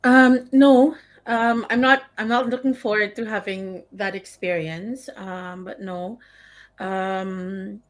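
A woman's voice: mid-range voice, steady tone, slow. A woman in a casual panel conversation, speaking tentatively and repeating her phrases as she goes.

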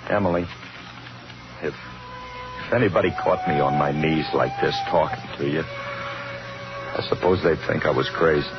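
An actor playing a cornered, fatalistic dance musician in a 1950s radio suspense drama, stammering and pleading in slangy talk. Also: male voice, a bass voice, very animated, a little slow, pauses often, full character voice. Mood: hushed, desperate. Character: cornered, bitter male dance musician fearing a lynch mob. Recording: muffled old radio recording with music.

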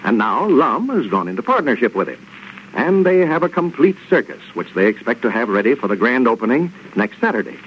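Male announcer, American accent, lively intonation, 1930s radio sponsor read, muffled broadcast, faint noise. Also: medium pitch, brisk.

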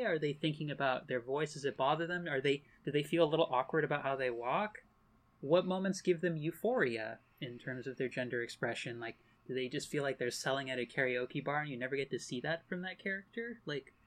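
A woman speaking frankly in a casual panel discussion, talking in loose, chatty runs filled with "like.". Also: low-pitched.

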